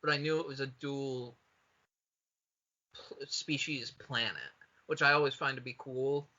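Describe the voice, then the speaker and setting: A man hosting a casual comedic podcast, riffing excitably and swearing freely. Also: mid-range voice, measured pace, dull audio. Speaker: podcast host.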